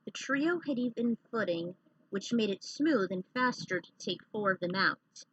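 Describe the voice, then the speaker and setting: A woman's voice: medium pitch, expressive, normal speed, fairly bright tone, breathy edge, no echo, highs cut off. A woman hosting with casual commentary and reading a story she has written out. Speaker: host and fan fiction reader.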